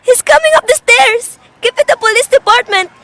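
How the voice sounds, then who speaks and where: A very high, anxious voice of a demanding invalid woman, frightened and alone in her house , acted by a young woman. Her delivery is melodramatic and halting, in the manner of a suspense radio play. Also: fairly bright tone, no echo. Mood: desperate panic. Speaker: student performer in a class radio drama. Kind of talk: suspense radio drama acting.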